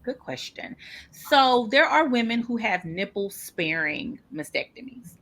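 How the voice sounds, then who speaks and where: A woman's voice: on the high side, expressive, measured pace, fairly bright tone. A woman guest speaking candidly and animatedly in an interview about her own experience. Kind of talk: casual interview talk.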